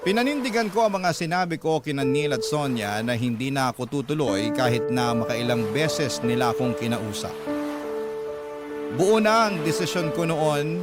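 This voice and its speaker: A man's voice: fairly high pitch, lightly acted. An actor with a Filipino accent playing a grown man reflectively narrating his regretted youth, like a confessional letter. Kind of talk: dramatized first-person letter narration. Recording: loud music under the voice.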